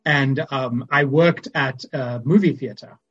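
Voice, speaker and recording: A man's voice: medium pitch, measured pace, a bit bright, slightly rough, lo-fi sound, faint music behind. A man from Zimbabwe with a Zimbabwean accent, a priest speaking as a podcast guest in a thoughtful, searching way. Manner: thoughtful, searching, with frequent ums. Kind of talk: reflective conversational podcast talk.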